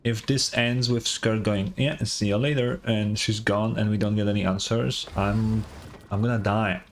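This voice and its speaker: A man's voice: fairly low pitch, steady tone, moderate pace, a little hiss or hum. A man streaming a game, chatting informally and reacting with dramatic, joking commentary. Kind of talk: casual gameplay commentary, reading and reacting. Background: game music.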